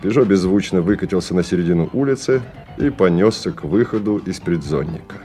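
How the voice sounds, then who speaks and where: A man narrating a novel in a radio drama as a measured, sober storyteller. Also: low-pitched, lively intonation, mellow, soft background music.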